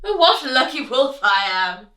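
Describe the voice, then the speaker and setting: A greedy big bad wolf gloats and bellows his threats in a lively sing-song way, as a woman reading aloud puts him on. The voice lands bright and a little far from the mic in a roomy space. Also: sits high, normal speed, exaggerated voice. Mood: gloating. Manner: loud, gloating, theatrical.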